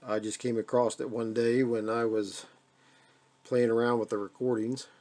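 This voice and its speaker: An American man with a mellow, relaxed voice walks through a piece of equipment in a casual home video. His pitch is average for a man, and he talks without a script, halting and repeating phrases as he goes. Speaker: radio hobbyist demonstrating a receiver. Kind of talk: casual hands-on video demonstration. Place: indoors at a radio desk.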